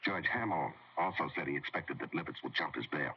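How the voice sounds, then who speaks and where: A man's voice: rumbling low, animated, brisk, a little hiss or hum. An American man acting a radio drama role as an anxious, evasive business executive with a hesitant manner. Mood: uneasy. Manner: hesitant, stammering when pressed. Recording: dull, band-limited vintage radio recording.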